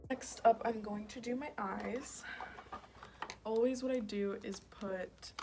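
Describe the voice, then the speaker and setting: Raspy young woman chatting through makeup. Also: fairly high pitch, fairly even intonation, slow, over music. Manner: chatty, slips into whispers while working. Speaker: beauty vlogger. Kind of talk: casual makeup tutorial. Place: home, at a mirror.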